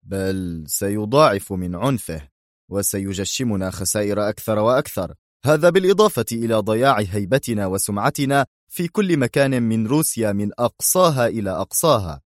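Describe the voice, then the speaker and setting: An actor playing a hot-tempered crime boss in an audio drama, speaking sharply and commandingly. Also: male voice, fairly low pitch, lively intonation, a little fast, dry recording, studio quality, natural voice.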